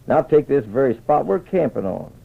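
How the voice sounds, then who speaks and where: Man acting folksy old frontier scout, gravelly, swooping pitch, western radio play, muffled old recording. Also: big pitch swings, moderate pace, exaggerated voice. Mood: proud, hearty. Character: old male trapper and Indian scout, folksy mentor. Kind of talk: 1950s western radio storytelling.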